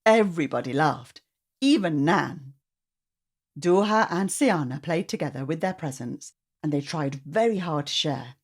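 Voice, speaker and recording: A woman reading a storybook aloud to children in an expressive narrating style. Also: low voice, unhurried.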